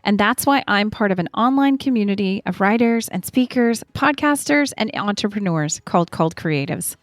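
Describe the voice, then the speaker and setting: A woman's voice: mid-range voice, animated, normal speed, no room sound, clean studio sound. A woman hosting a podcast, reading an ad and welcoming a guest in an upbeat, encouraging way. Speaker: podcast host. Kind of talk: scripted ad read and warm interview hosting.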